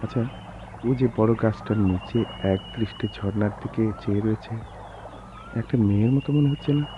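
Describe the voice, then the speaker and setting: A man acting a melancholy, soft-spoken educated gentleman with measured, literary phrasing in a Bengali radio drama. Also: lowish voice, slight background noise, close to an everyday voice. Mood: wistful. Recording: dull lo-fi radio drama recording.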